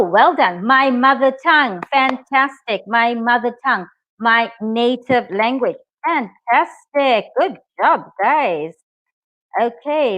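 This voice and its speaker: A woman whose native language is English, teaching a live online IELTS lesson, speaking slowly and clearly and cheering her students on. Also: a little high, no room sound, lo-fi sound. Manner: slow, clear, encouraging, reads out student comments.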